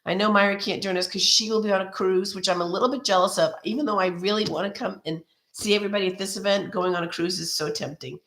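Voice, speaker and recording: A woman with a hoarse, cold-roughened voice hosts a live card-making lesson. She talks in a loose, friendly ramble full of ums and restarts. Her voice carries a noticeable room echo. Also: mid-range voice, fairly even intonation, fast, fairly bright tone. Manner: rambling and friendly, many ums and restarts, greets viewers by name. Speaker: card-making demonstrator hosting a live stream. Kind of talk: chatty live craft tutorial. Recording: roomy echo.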